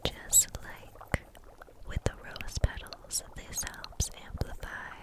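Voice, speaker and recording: A woman playing a gentle, soothing kitchen witch in a whispered ASMR roleplay, speaking softly and breathily with a caring manner. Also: natural voice. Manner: soft, slow, caring, unhurried.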